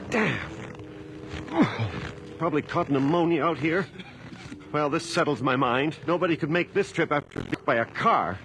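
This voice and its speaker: A man's voice: fairly high pitch, unhurried, long pauses, background noise. An actor playing a put-upon, impatient male investigator in a radio drama, muttering and complaining. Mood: grumbling.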